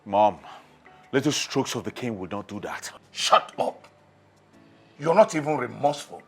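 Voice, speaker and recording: A man's voice: mid-range voice, lively intonation, normal speed, vocal fry, roomy sound, music in the background. An actor playing a stern king who is outraged at his children's fighting. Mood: angry. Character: angry middle-aged king, stern father.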